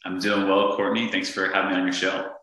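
Deep-voiced man in an echoey room. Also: little pitch movement, bright, forceful tone. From Northern Virginia, USA. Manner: earnest, halting, with many fillers and restarts. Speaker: podcast guest, real estate investor. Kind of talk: casual interview conversation.

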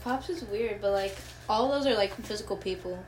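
A young woman in casual chat, rambling with lots of fillers and getting excitable. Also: mid-range voice, brisk, a little hiss or hum, low-quality recording.

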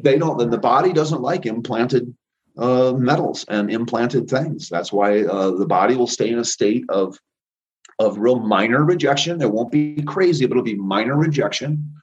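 A man's voice: mid-range voice, normal speed, muffled recording. A man in his fifties, a guest on a call, talking emphatically and repeating himself with great confidence. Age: 50s. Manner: emphatic, repetitive, self-assured. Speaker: guest, energy healer. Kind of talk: casual interview talk.

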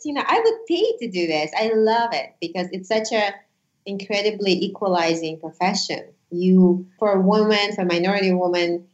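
Deep-voiced woman from uzbekistan, russian native speaker, earnest guest, echoey dull audio. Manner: earnest, animated storytelling. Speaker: guest, former attorney. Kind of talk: casual interview chat.

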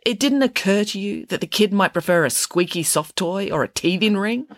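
A woman voicing a bossy, sharp-tongued, glamorous female pig from a storybook, scolding in a no-nonsense way. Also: medium pitch, lively intonation, moderate pace, no echo, studio quality, voice pushed up, natural voice. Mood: grumbling, stern.

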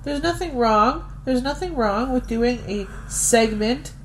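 Higher-voiced woman in casual podcast chat. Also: lively intonation, a little slow, a little hiss or hum, rough recording. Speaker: podcast co-host. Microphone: recorded into a phone app.

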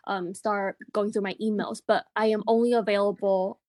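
A woman whose first language is Chinese, talking casually as an interview guest, relaxed, with fillers and frequent laughs. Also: medium pitch, moderate pace, no room sound, clean studio sound. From China. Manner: easygoing, many fillers, laughs often. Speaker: guest, business co-founder.

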